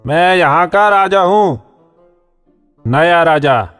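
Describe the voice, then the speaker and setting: A woman voicing a rude, boastful male donkey in a children's cartoon, speaking in a gruff, bossy, threatening way. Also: lowish voice, expressive, takes it slowly, long reverb tail, soft background music, voice pushed down. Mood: commanding.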